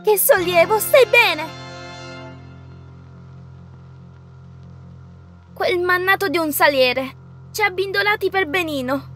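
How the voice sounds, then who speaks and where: A spirited cartoon teacup girl , played by a woman, plucky and emphatic. Her voice is extremely high and bright, like a storybook heroine. Light music runs behind it. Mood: urgent. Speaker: heroine in a fan dub. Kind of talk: theatrical cartoon dubbing. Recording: music under the voice.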